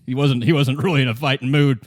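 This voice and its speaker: A man's voice: medium pitch, steady tone, natural voice. Man playing swaggering, blustery union tough. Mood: evasive bluster. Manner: blustery, halting boasts. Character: swaggering, defiant leader of a union tough gang.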